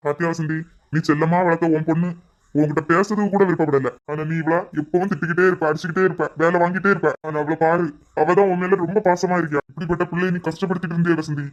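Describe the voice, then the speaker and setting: A man playing a gentle middle-aged father in a family melodrama, soft-spoken and placating, with a Kanyakumari Tamil accent. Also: a little high, fairly even intonation, quick pace, muffled recording, natural voice. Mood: reproachful. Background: music.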